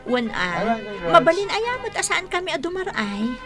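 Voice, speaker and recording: An actress playing a bubbly, excitable young female friend in a radio drama, with an Ilocano Filipino accent. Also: very high voice, moderate pace, over loud music. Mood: cheerful, excited.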